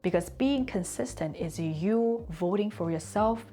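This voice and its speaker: A woman whose first language is Chinese, giving an earnest, reflective talk on consistency and slowing down to stress key words. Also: mid-range voice, expressive, mellow. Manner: conversational asides and fillers. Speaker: language and culture teacher.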